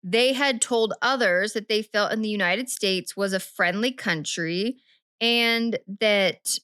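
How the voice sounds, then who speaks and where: A woman's voice: mid-range voice, slow pace, a bit bright, clear, resonant tone, dry recording. Chatty woman podcast co-host, dry sound. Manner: chatty, rambling, self-correcting. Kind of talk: casual true-crime podcast chat.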